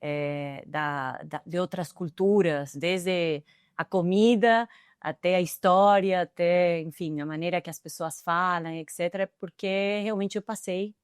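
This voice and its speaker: A middle-aged woman with an Argentine accent, speaking as a guest in an interview with a smooth, resonant voice pitched fairly low for a woman. She answers thoughtfully and often pauses on hesitant fillers. The recording is close and dry. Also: dry recording. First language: Spanish. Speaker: guest, tech executive.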